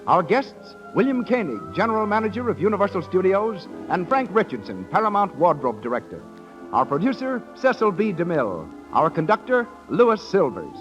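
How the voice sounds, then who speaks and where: A man's voice: high voice, big pitch swings, moderate pace. A man with an American accent announcing a 1930s radio show and its advertising in a formal, declamatory way. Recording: dull 1930s radio broadcast with music.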